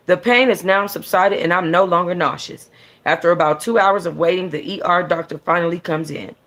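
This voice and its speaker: Sassy woman reading a story aloud. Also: lowish voice. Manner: sassy, conversational, slangy. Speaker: book club host reading a novel aloud. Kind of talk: expressive first-person audiobook-style reading with casual asides.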